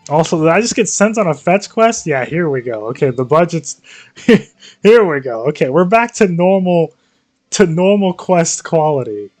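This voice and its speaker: A man's voice: fairly high pitch, expressive, normal speed, a little mellow. A man giving casual, slangy, sweary live commentary as a game streamer. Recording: game music behind the voice. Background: video game music.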